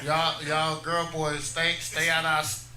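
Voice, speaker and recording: A man's voice: on the high side, monotone, a little slow. A man, doing teasing, off-the-cuff comedy banter on a live show. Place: comedy club, on a microphone. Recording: noisy, echoey live club recording.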